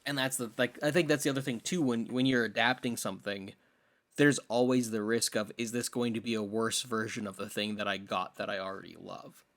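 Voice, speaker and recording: A man's voice: fairly low pitch, steady tone, brisk, fairly bright tone. Emphatic young man chatting about films. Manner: opinionated, emphatic, uses slangy asides. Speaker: podcast co-host. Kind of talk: casual film discussion chat.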